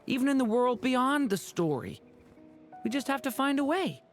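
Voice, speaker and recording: A woman voicing an earnest, hopeful young boy dragon in a video game, boyish and expressive. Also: high voice, normal speed. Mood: earnest, determined. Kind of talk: video game character acting. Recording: music under the voice.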